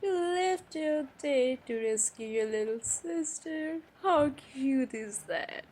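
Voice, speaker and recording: A woman acts out a young college-age stepsister in an audio roleplay, hurt but defiant. Her voice sits fairly high and she speaks very slowly, with emotional pauses and sighs. A faint noise lies behind her. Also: animated, a little mellow. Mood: teasing, wry.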